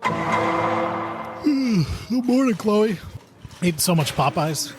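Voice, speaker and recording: A man playing a sluggish, deadpan time-agency worker in a comic radio drama. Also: high-pitched, extremely slow, exaggerated voice. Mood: weary. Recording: loud noise and clicks.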